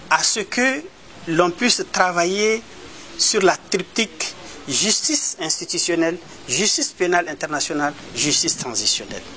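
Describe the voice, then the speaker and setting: A man from Mali, an advocate, giving a measured, explanatory interview answer with frequent hesitations and repeated words. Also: high voice, pushed and bright, slight background noise. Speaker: human rights advocate.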